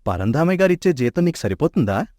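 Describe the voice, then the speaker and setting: An actor voicing a cheeky young man in an animated story, who talks playfully and persuasively. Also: a little high, no room sound. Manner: playful, quick-tongued and persuasive. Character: cheeky young man, bickering childhood friend. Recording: dry close studio mic.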